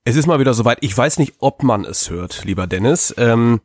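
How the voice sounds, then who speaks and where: A man's voice: medium pitch, lively intonation, a little fast, no echo. This man co-hosts a podcast while he has a cold, and his nose sounds stuffed up. His talk rambles, with many fillers. The sound is dry and close to the mic. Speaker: podcast co-host. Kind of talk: casual chat.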